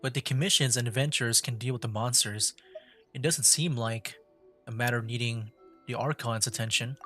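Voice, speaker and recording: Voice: mid-range voice, fairly even intonation, normal speed, a bit bright. A streamer giving casual livestream commentary while playing a game, thinking aloud in a conversational way. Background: game music.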